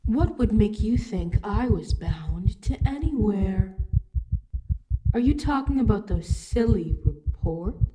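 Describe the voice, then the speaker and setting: A woman's voice: mid-range voice, expressive, slow, very noisy. A woman playing a taunting, menacing female spirit with a mocking, theatrical manner in an audio roleplay. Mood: playful taunting. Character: taunting, playful, menacing female spirit toying with her victim. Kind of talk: narrative audio roleplay acting.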